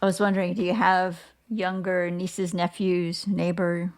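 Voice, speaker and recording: Creaky-voiced woman hosting an interview. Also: on the low side, flat delivery, unhurried. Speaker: podcast interviewer, host. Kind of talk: conversational interview questions.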